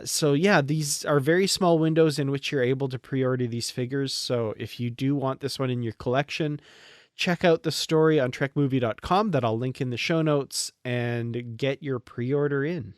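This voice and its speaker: A man's voice: medium pitch, smooth, dry recording. Male podcast host, conversational and informal, recorded dry. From Canada. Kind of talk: casual review discussion.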